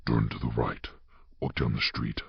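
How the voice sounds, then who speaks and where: A man voicing a mysterious, commanding voice in an audio drama, giving terse, flat, clipped instructions with a very deep, gravelly sound. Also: normal speed, no echo, exaggerated voice. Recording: dull, muffled, dry sound.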